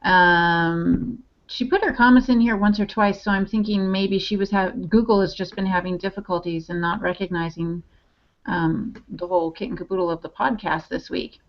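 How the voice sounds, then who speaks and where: A woman's voice: medium pitch, fairly even intonation, moderate pace. A woman co-hosting a podcast, chatting casually as she relays comments and tips. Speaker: podcast co-host relaying chat-room comments. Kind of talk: casual chat. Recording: dull lo-fi online-call audio.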